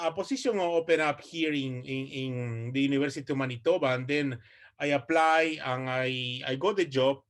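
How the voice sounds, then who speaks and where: Guy in his 40s giving a research talk on a video call, lots of uhs and restarts. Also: mid-range voice. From Ecuador. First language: Spanish. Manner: hesitant, many fillers and restarts. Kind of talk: online presentation.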